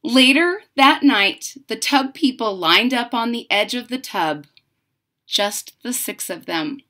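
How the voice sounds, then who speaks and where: Animated woman reading a picture book. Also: a little high. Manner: deliberate, dramatic emphasis. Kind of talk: expressive children's read-aloud with chatty asides. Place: room at home.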